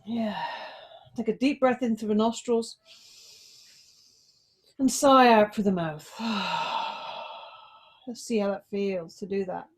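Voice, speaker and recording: A woman yoga teacher guiding a relaxation and body check-in, giving slow, gently coaxing instructions with pauses between phrases. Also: medium pitch, fairly even intonation.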